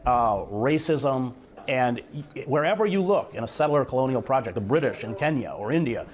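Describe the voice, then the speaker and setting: A man's voice: mid-range voice, expressive, moderate pace. A man in an interview, a former foreign correspondent, speaking in an impassioned, emphatic way.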